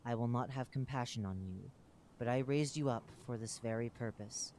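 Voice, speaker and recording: A man's voice: mid-range voice, moderate pace, darkish tone, slight background noise, close to an everyday voice. A man voicing a solemn, unseen divine master who pronounces judgment in grave scriptural words. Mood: stern judgment.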